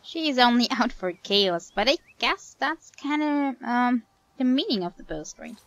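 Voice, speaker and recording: A woman's voice: on the high side, animated, a little slow, a bit bright. A woman whose first language is German, chatting casually while she plays a game. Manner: chatty, thinking aloud. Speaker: streamer. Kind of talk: casual gameplay commentary.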